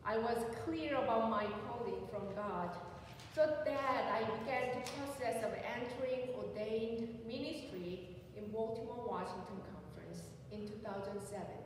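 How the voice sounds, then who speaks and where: Woman pastor, 40s, Korean accent; slow, earnest sermon, higher voice, heard from far off in a reverberant sanctuary. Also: slight background noise. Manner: slow, earnest, personal testimony. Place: church sanctuary, at the pulpit. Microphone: pulpit mic, recorded by a far camera.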